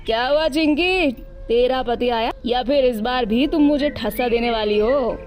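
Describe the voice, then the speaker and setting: A woman's voice: on the high side, measured pace, lightly acted. Arrogant, threatening crow moneylender, voiced by a woman. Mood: demanding. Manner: threatening, demanding. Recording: music behind the voice.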